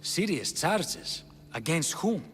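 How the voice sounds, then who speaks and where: An actor playing a dry, questioning, stately Spartan royal in a video game. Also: male voice, a little high, very animated, slow pace, fairly bright tone, heavily acted. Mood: skeptical. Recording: echoey hall, faint ambient noise.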